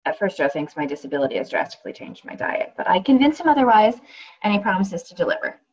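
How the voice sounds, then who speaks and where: Husky, animated, wry housebound woman read by an actress. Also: medium pitch, fast. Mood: wry, softening. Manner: sarcastic, exasperated, conversational. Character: wry, housebound injured woman telling her story. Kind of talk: dramatic monologue reading. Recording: dull, lo-fi sound.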